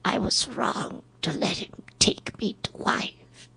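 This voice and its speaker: A woman playing a bitter, dying old mother in a Norse radio drama, with a breathy, raspy, strained voice. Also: bright, forceful tone. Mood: regretful, pleading. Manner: slow, strained, gasping delivery.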